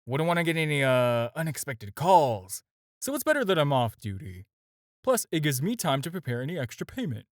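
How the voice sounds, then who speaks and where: Flirty villain for audio roleplay, played by a man: lightish male pitch, very lively intonation, teasing and self-assured. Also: a little fast, dry recording, studio quality. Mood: casual, reassuring. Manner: teasing, casual, conversational with hesitations. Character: flirty, smooth, self-assured male supervillain courting his tailor. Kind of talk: scripted audio roleplay addressed to the listener.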